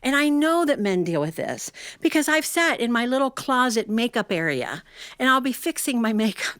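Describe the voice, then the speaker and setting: A middle-aged woman telling humorous personal stories to an audience in a folksy, animated way, acting out bits of dialogue. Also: mid-range voice, no echo. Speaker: storyteller.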